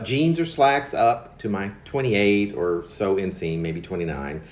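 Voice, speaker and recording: A man's voice: on the low side, darkish tone, reverberant, muffled recording. An American man in his late fifties reading his own rambling autobiographical poem in a wry, digressive manner, often repeating words. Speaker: poet reading own work.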